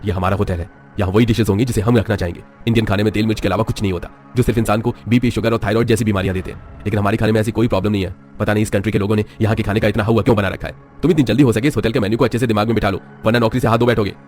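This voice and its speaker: A man's voice: lowish voice, steady tone, rapid-fire, hardly any pauses, a little mellow, soft background music, close to an everyday voice. A man playing a stern, snobbish young hotel owner in a story, scolding haughtily. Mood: stern, haughty. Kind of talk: cartoon moral-story acting.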